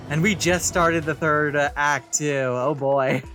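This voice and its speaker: A man's voice: fairly high pitch, fairly even intonation, a little slow, sharp tone. A man hosting a let's play, reading game text aloud and commenting casually. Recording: game music behind the voice.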